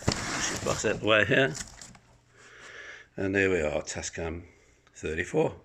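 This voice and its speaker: A man's voice: low voice, expressive, a little slow, fairly bright tone. A man giving a casual, unscripted demonstration of an item he is selling, rambling and hesitant, recorded on a handheld phone in a living room. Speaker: private seller showing an item for sale. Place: living room of a home apartment, indoors. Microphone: handheld phone held by the speaker behind the camera.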